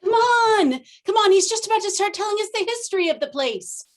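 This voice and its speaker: A woman's voice: very high-pitched, lively intonation, brisk. An actress in a stage play playing an impatient older tourist who scolds and urges her companion along. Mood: impatient, bossy. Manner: scolding, urging. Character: bossy, impatient older female tourist, eager sightseer.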